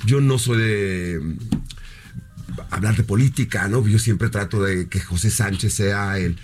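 Low-voiced man, affectionate. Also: measured pace, slight background noise. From Mexico (Mexicali, border). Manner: affectionate, uses endearments. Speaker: fashion designer guest. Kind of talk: TV interview chat.

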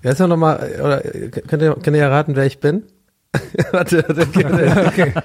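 Guy with a cologne accent, jokey podcast chat, slightly high voice. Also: a little slow. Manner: jokey, animated storytelling with laughs. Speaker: podcast co-host. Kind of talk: casual chat.

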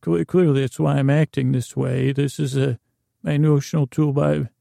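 A man hosting a bedtime podcast in a creaky, lulling tone, meandering and halting with long pauses. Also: medium pitch, flat delivery, warm tone, dry recording, studio quality. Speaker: podcast host. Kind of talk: rambling bedtime-story podcast monologue.

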